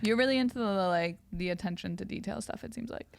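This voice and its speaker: A woman's voice: medium pitch, fast, vocal fry. A woman co-hosting a casual podcast, chatting informally in short, wry remarks. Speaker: podcast co-host. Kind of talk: casual chat.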